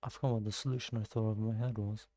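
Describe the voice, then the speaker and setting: A man with a relaxed, creaky voice talks through a game in casual commentary. He thinks out loud, muttering and exclaiming to himself. The sound is close and dry, with faint music behind him. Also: on the low side, racing, no echo. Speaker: gaming streamer playing and commenting. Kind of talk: casual live gameplay commentary.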